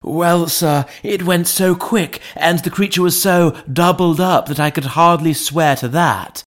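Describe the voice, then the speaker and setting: A man voicing an agitated, deferential elderly butler in an audiobook, loyal and frightened, speaking haltingly and emphatically. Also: on the high side, fairly bright tone, voice pushed up. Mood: fearful, urgent. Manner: halting, emphatic, servile address. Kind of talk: dramatized audiobook reading.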